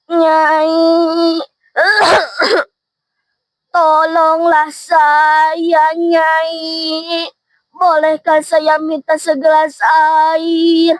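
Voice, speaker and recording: A woman voicing a frail, thirsty old man who pleads slowly and coughs. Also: very high voice, level tone, no echo, heavily acted. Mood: pleading. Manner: slow, feeble pleading with coughs.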